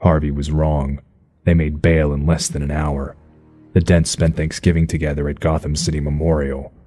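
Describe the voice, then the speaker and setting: An actor playing a brooding, stern vigilante detective, narrating noir style in a raspy, terse, measured voice. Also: male voice, rumbling low, little pitch movement, warm tone, faint music behind. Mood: grim, brooding. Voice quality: husky, raspy.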